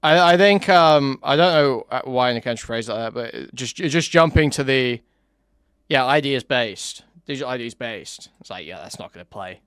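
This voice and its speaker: A man's voice: medium pitch, animated, moderate pace, fairly bright tone, clean tone, dry recording, studio quality. A man hosting a reaction commentary, talking loosely and rambling with fillers as he reacts to clips. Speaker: commentary host.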